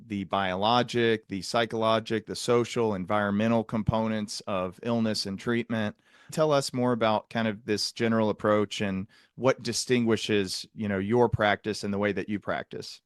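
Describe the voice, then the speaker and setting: A man's voice: on the low side, clean tone, no room sound, studio quality. A man hosting an interview, posing questions in a conversational way. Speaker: interview host.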